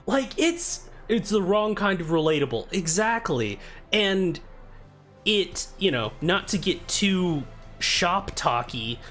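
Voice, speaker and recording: A man's voice: sits high, very animated, slow. Chatty young man with faint music. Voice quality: soft, told he sounds soothing. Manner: chatty and self-deprecating, greets viewers by name. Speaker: streamer. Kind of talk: casual live-stream chat.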